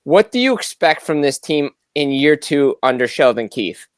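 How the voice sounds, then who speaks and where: Clear-voiced man hosting a sports podcast. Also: on the high side, sing-song, moderate pace, no room sound, clean studio sound. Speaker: podcast host. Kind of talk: conversational interview questioning.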